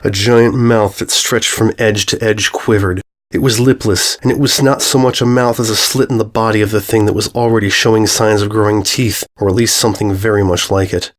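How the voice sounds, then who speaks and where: Low-pitched man narrating a horror story. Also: steady tone, fairly quick, few pauses. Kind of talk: scripted narration of horror fan fiction.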